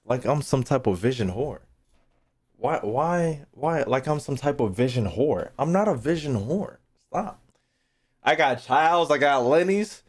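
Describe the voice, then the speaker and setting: A young man reacts casually to game voice lines. His mid-pitched voice jumps around a lot, and he talks in slang with a joking, mock-indignant manner. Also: lively intonation, measured pace. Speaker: gaming YouTuber reacting to voice lines.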